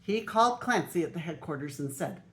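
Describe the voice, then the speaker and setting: An older woman, a grandmother, reading a children's picture book aloud in an expressive way. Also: deep voice, brisk. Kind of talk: storybook read-aloud.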